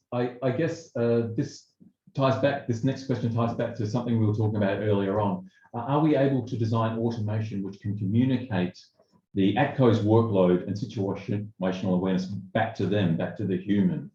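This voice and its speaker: Mellow low-voiced man in an echoey room. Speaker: webinar moderator putting questions. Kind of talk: informal interview questioning. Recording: dull, roomy webinar call audio.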